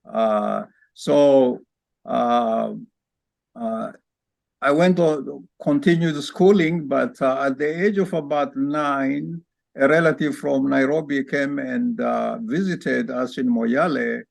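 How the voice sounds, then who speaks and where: A man from Kenya, an orthopedic spine surgeon, telling his life story in an interview over a video call in a reflective, unhurried way. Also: mid-range voice, creaky, no room sound.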